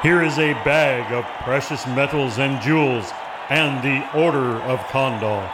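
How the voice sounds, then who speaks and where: A man's voice: mid-range voice, natural voice. A man acting a solemn, grateful alien ruler in a space radio play, speaking in a formal, grandiose, declamatory way. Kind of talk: amateur radio theater acting. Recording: noisy recording with crowd behind.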